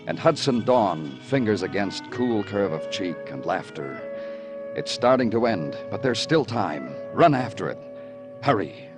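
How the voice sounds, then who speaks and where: An actor playing a weary, poetic police detective in 1950s radio noir, with clipped, lyrical phrasing. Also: male voice, deep voice. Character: weary, poetic hard-boiled New York police detective. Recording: vintage radio drama, music behind voice.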